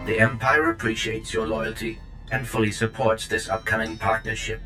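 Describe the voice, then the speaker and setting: A man's voice: lowish voice, monotone, moderate pace, sharp tone, poor audio. A man playing a coolly formal, loyal military droid in a sci-fi game, clipped and unemotional. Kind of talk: scripted video game dialogue. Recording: music behind the voice.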